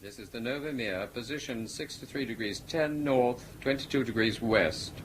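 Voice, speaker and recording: An actor playing a matter-of-fact, precise ship's radio operator in a radio drama. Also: male voice, medium pitch, normal speed, a little hiss or hum, natural voice, low-quality recording. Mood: formal, measured. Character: businesslike radio operator among a ship's crew.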